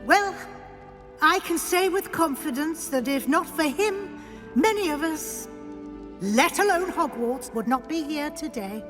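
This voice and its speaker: An actress playing an earnest professor delivering a measured, solemn eulogy in a video game cutscene. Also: female voice, high voice, expressive. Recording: echoey hall reverb, faint music score.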